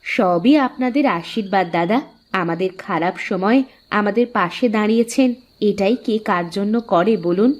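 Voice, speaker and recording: A woman acts out a loving village mother in a cartoon story. She is proud and affectionate, with a medium female pitch and a homely, housewifely manner. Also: moderate pace, close to an everyday voice. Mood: fond, grateful. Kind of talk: Bengali cartoon dialogue.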